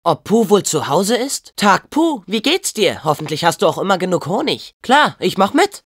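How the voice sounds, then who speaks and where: A man playing an eager, loyal teenage boy hero in a video game, with an expressive, earnest, youthful delivery. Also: high voice, moderate pace, husky, big-room sound, full character voice. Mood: gentle, cheerful. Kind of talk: video game voice acting, German dub.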